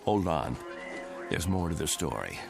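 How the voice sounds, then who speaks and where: An actor playing an earnest, troubled Air Force colonel in a radio drama, confiding and emphatic. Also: male voice, rumbling low, big pitch swings, a little slow, gravelly, over music, exaggerated voice. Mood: grave, recounting.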